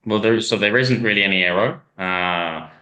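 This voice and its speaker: A man from Ireland, a racing driver being interviewed, telling stories in a rambling way with many pauses and restarts. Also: lowish voice, sharp tone. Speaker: hill climb driver, guest. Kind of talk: casual interview chat.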